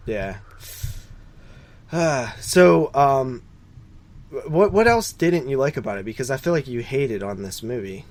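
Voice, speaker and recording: A male podcast co-host with a mid-range voice talks casually through his notes. His delivery is informal and hesitant, broken by frequent pauses as he finds his way. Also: medium pitch, expressive, a little mellow. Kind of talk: casual movie discussion, recounting notes.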